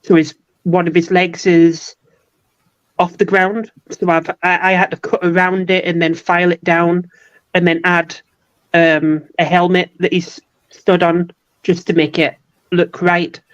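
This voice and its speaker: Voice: medium pitch, little pitch movement, unhurried, no echo. This guest painter chats casually about their work. The voice sits in the middle range and explains steps plainly, with a light teasing edge. The recording is dry and a little dull and muffled. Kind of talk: casual hobby chat.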